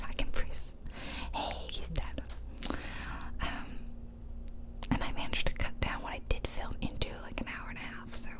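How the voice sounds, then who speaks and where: A woman whispering chatty, self-deprecating commentary over gameplay in a soft, breathy ASMR style. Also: moderate pace, long pauses. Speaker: ASMR gaming video host.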